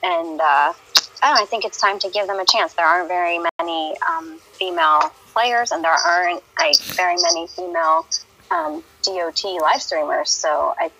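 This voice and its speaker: A woman talks casually on a game stream in a bright, clear voice at a middling pitch. She hesitates often, restarting her sentences with ums and little laughs. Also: big pitch swings, moderate pace, a little hiss or hum, poor audio. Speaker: streamer and clan recruiter.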